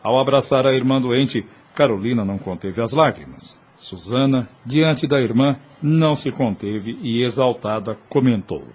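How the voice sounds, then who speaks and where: A man with a mid-pitched, somewhat husky voice narrates a period audio drama. His delivery is solemn, literary and formal. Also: animated, moderate pace, hardly any pauses, a little mellow.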